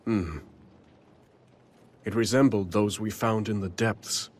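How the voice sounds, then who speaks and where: An actor playing a noble, kindly king in a video game, speaking in a grave, measured, regal manner. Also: on the low side, fairly even intonation. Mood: grave, wary. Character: noble, solemn, kindly king guarding his realm. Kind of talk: video game cutscene acting. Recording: music behind the voice.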